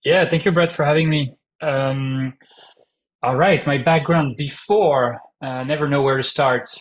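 A man's voice: medium pitch, steady tone, unhurried. A man in his thirties from France with a heavy French accent, a startup founder speaking in an interview, hesitant with many fillers. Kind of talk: casual interview talk.

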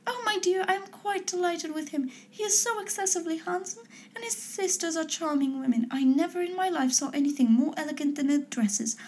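A woman voicing an excitable middle-aged mother who chatters in a breathless, fluttery rush. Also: extremely high pitch, fairly quick, a little hiss or hum, voice pushed up. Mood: indignant.

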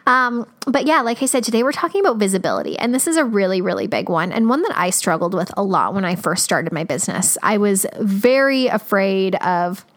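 A woman hosts a casual wellness podcast, her voice slightly scratchy. She chats in an upbeat, enthusiastic way, leaning on fillers as she goes. Her pitch sits mid-range. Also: lively intonation, a little fast, few pauses. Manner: upbeat, chatty, lots of fillers. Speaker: podcast host. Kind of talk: casual podcast intro and interview.

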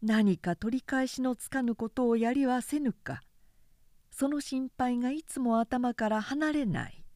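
A woman reading a literary period story aloud as narrator, in a measured, expressive storytelling manner. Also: fairly high pitch, no room sound, studio quality. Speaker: narrator, reciter.

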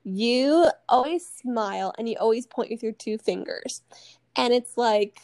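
A woman's voice: a little high, a little slow, darkish tone, no room sound. A woman in her early thirties co-hosting a casual personal chat, talking in a chatty, rambling way with plenty of "like". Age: early 30s. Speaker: podcast co-host.

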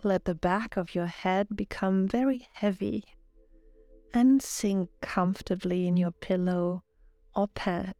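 A woman narrating a guided relaxation meditation in a calm, soothing, measured way, as a yoga nidra guide. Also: mid-range voice, dry recording. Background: background music.

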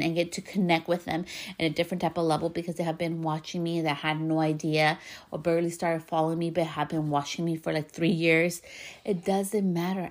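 A woman with a Spanish accent hosting a podcast, giving an earnest, emphatic motivational talk. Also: lowish voice. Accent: Spanish-accented English. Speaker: podcast host. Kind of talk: motivational monologue.

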